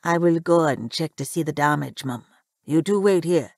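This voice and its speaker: A woman's voice: low-pitched, expressive, normal speed, darkish tone, dry recording, clean studio sound, voice pushed down. A woman voicing a humble, nervous little male cab driver, deferential and grave, in a story.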